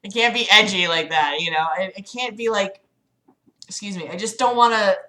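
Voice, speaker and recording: A woman's voice: medium pitch, normal speed, pushed and bright. Hesitant, rambling woman chatting on a livestream. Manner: hesitant, rambling, self-deprecating. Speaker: livestream host. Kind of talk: casual chat with livestream audience.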